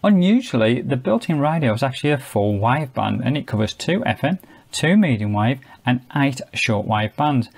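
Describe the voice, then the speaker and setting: A man's voice: medium pitch, very animated, normal speed, gravelly. A man giving a casual, chatty product demonstration, explaining things step by step as a reviewer. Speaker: gadget reviewer.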